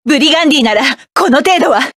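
Proud hardened mech pilot woman, high voice, clipped barks, dry studio sound. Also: lively intonation, fast, no room sound. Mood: battle-ready. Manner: sharp, self-assured, clipped combat barks. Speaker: game character. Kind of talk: game battle voice lines.

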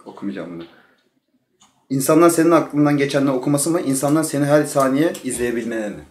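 A man's voice: medium pitch, expressive, quick pace, echoey room. A young man, co-hosting with casual banter and questions. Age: young adult. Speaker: co-host. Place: small indoor studio set.

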